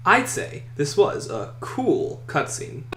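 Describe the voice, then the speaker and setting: A man's voice: on the high side, wildly swooping pitch, slow, over loud music. A man hosting a countdown list video, reading scripted commentary and opinion. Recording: echoey room, faint noise.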